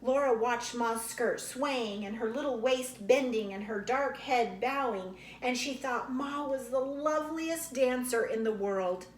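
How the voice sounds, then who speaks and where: Lady reading a story to kids, takes her time, echoey room, bit of background noise. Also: a little high. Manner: unhurried, explains and chats to young listeners. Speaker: read-aloud storyteller, teacher. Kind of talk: expressive children's book read-aloud with casual asides. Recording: roomy echo, faint background noise.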